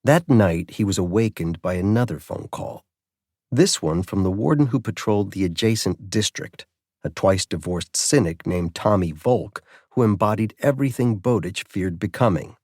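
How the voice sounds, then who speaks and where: A man's voice: fairly low pitch, expressive, normal speed, darkish tone, no echo, studio quality. A man reading scripted narration of a mystery story as an audiobook narrator.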